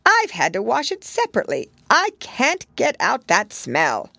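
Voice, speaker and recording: A woman's voice: a little high, huge pitch swings, moderate pace, creaky, pushed and bright, no room sound, voice pushed up, heavily acted. A woman voicing a stout, anxious hedgehog washerwoman who talks humbly and keeps repeating polite phrases. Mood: fussy, polite.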